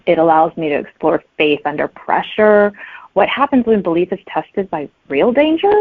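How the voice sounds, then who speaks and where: A woman's voice: lowish voice, expressive, normal speed. A woman answering casually as a guest author in an interview. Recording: thin, phone-like connection.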